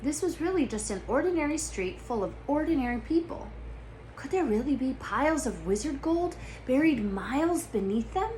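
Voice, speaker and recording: A woman storyteller narrates a book aloud with expression, her voice on the high side. There is clear noise in the recording. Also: expressive, moderate pace, a little mellow, poor audio.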